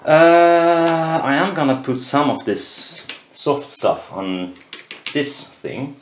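A man giving casual, halting commentary while building something at a workbench, muttering as he thinks aloud. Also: fairly high pitch, big pitch swings. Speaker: DIY maker. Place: indoor workshop at a cluttered workbench. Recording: roomy echo, loud workshop noise, clicks.

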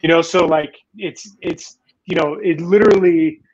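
A man in his forties hosts a live online interview from a room at home, his voice slightly high. He rambles through long questions, restarting and dropping in "you know", and the webcam audio sounds dull and lo-fi. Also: steady tone, normal speed, crowd noise. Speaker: talk show host. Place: home room in Manila, indoors. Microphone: video call webcam.

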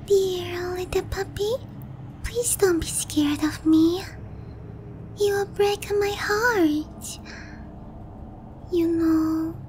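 A woman playing an obsessive young vampire princess in a slow, cooing, breathy half-whisper for an ASMR roleplay, sweetly menacing and possessive. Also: very high-pitched, dark tone, slight background noise, exaggerated voice. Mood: coaxing, hungry. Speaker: roleplay voice actress.

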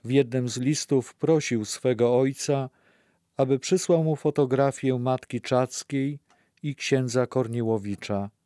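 A man's voice: medium pitch, a little slow, warm tone, no echo, studio quality. A man narrating a scripted documentary audio play.

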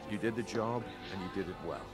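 A man's voice: lowish voice, fast talker, close to an everyday voice. An actor playing a sly, confident thieves' guild recruiter in a fantasy video game, coaxing as he talks. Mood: confiding, challenging. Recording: game music behind the voice.

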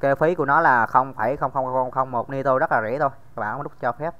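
A man's voice: medium pitch, quick pace, a bit bright, dull audio. A man giving a casual screen-recorded tutorial, talking straight to viewers with many fillers. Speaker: crypto tutorial host.

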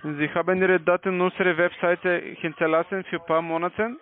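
A man with a foreign accent, speaking non-native German as a telemarketing caller giving a sales pitch. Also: little pitch movement, brisk, a little hiss or hum. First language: not German. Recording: tinny phone line.

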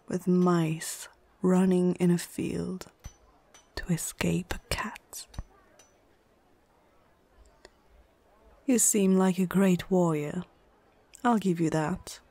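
A woman's voice: lowish voice, dark tone. A woman playing a proud, menacing orc chieftain in an ASMR fantasy roleplay, imperious and condescending, often dropping toward a whisper. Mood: condescending, amused. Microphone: close microphone, dry.

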